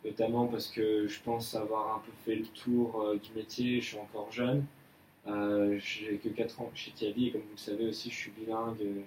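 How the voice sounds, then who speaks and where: A young man plays a polite, earnest employee in an amateur office role-play, stumbling through his answers with fillers and repeats. His voice sits low and barely moves in pitch. It is recorded from a distance in an echoey room with a faint hiss behind it. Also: little pitch movement, moderate pace, a little mellow, natural voice. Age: young adult. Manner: hesitant, many fillers and restarts. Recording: echoey room, mic far off, faint noise.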